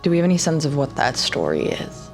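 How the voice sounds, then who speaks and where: A woman's voice: deep voice, big pitch swings, a little fast. A woman playing a tabletop game, chatting casually in and out of the story. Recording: soft music and rain behind voice.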